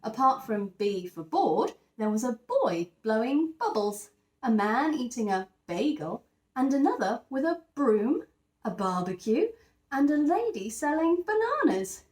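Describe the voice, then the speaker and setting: Female storyteller for young children, expressive and lively in pitch, emphatic on key words, echoey room sound. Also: unhurried. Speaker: teacher reading a children's picture book aloud. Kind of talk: expressive scripted story narration.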